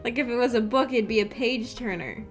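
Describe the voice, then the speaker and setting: High-voiced woman chatting on a livestream. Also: a little fast, faint music behind. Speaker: streamer hosting a visual novel book club. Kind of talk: casual livestream chat with viewers.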